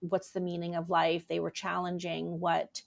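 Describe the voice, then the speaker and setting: A woman's voice: on the low side, completely flat delivery, normal speed, vocal fry. A middle-aged woman from Germany telling her personal story as a therapist being interviewed. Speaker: interview guest, therapist and author.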